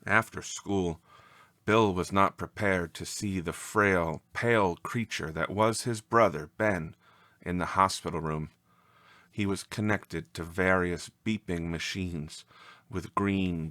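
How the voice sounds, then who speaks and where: A man reading a scripted story as narrator, with an expressive, measured and dramatic delivery. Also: deep voice, no room sound.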